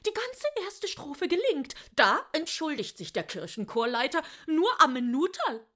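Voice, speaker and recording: A woman's voice: high voice, big pitch swings, measured pace, fairly bright tone, heavily acted. An actress playing a hysterical, indignant young woman clerk in a radio play, gossiping in exasperation. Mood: agitated, exasperated. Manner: gossipy, exasperated, whispering and wailing by turns. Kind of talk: German radio play acting.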